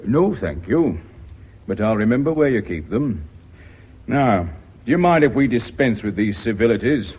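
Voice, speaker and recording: Husky, blunt, domineering businessman. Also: mid-range voice, sing-song, normal speed. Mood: blunt confidence. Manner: curt, brusque, overbearing. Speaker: actor in a radio play. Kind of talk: British radio drama acting. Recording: muffled old radio broadcast.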